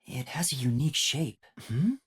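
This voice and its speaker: A man's voice: medium pitch, lively intonation, moderate pace, slightly rough. A man voicing an earnest, timid young male detective in a video game, with a light boyish voice and a hesitant, thoughtful way of thinking aloud. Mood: pondering. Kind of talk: video game voice acting, investigative monologue.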